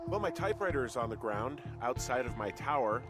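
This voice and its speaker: An actor playing a guarded, sardonic everyman fire lookout in a video game, speaking in a casual, gruff deadpan. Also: medium pitch, a little fast, music in the background, close to an everyday voice. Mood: alarmed, angry. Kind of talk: naturalistic video game voice acting, casual banter.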